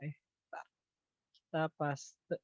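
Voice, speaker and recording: A man teaching an informal online lesson, thinking aloud through figures in a halting way and checking with his listeners. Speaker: accounting tutor. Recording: echoey room, dull lo-fi online-call audio.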